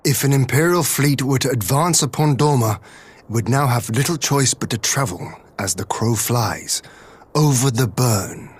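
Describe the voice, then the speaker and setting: An actor playing a shrewd, theatrical strategist in a video game cutscene, speaking in deliberate, formal phrases with a husky voice. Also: male voice, mid-range voice, close to an everyday voice. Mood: grave, explanatory. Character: shrewd, theatrical male strategist, musing and confident.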